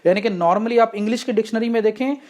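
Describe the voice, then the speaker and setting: A woman's voice: on the high side, fast talker, darkish tone. Pakistani woman giving an explanatory lecture. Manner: didactic, poses questions and answers them. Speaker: law lecturer.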